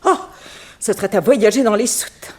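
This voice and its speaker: An actress playing a wealthy, talkative, scatterbrained middle-aged widow in a theatrical, grand and fussy manner, in 1960s French radio drama style. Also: female voice, mid-range voice, moderate pace, darkish tone, lightly acted. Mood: indignant, chatty. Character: wealthy, talkative, scatterbrained American banker's widow and society aunt.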